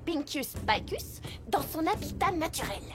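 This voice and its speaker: A woman's voice: high voice, big pitch swings, measured pace. A woman voicing a studious, skeptical female unicorn pony in a French cartoon dub, precise and lecturing. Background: loud music score.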